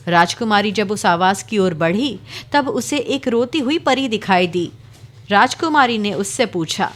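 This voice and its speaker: A woman narrating a cartoon fairy tale in a steady, dramatic storytelling way. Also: mid-range voice.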